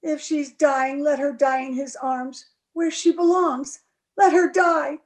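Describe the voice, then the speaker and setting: Actress as jealous overwrought young woman, melodramatic radio acting, piercingly high voice, slow emphatic pace. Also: fairly even intonation. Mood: spiteful, desperate. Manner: melodramatic, emotional outcries. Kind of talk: old-time radio drama acting. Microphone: recorded remotely over video call.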